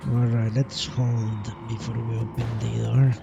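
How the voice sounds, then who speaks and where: Male livestream gamer, medium pitch, slow delivery with vocal fry; casual, self-mocking, resigned commentary over game music. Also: little pitch movement, dull audio. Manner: self-deprecating, resigned, mildly profane. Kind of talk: casual live gameplay commentary.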